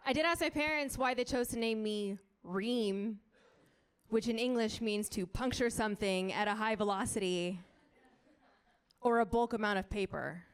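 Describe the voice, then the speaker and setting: A young woman from Colorado doing a stand-up set on a club stage, wry and punchy, with quick asides to the crowd. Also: on the high side, smooth. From United States (Colorado). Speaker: stand-up comedian.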